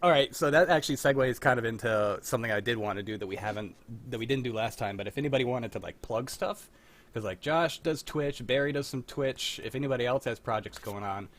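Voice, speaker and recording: A young man hosting a casual post-show chat, excitable, laughing often and swearing casually. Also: medium pitch, quick pace. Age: young adult. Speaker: podcast host.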